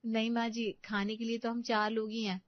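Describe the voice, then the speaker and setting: A woman's voice: fairly high pitch, flat delivery, a little fast, no room sound, highs cut off, close to an everyday voice. A woman voicing a meek young daughter-in-law. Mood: polite. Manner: polite, eager to please.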